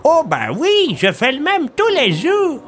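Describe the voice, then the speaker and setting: A high, piercing old village woman. She rambles in a folksy, chatty way, her pitch swooping up and down, cheerful and a little senile. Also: wildly swooping pitch, unhurried, a bit bright, exaggerated voice. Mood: rambling, friendly. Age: older. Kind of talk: audio drama acting.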